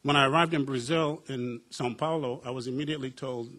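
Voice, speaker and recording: A man's voice: mid-range voice, steady tone, fast, a bit bright. A middle-aged man from the United States, a professor on a panel, telling reflective personal stories with a wry humor and frequent uh and um fillers. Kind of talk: personal storytelling at a panel discussion.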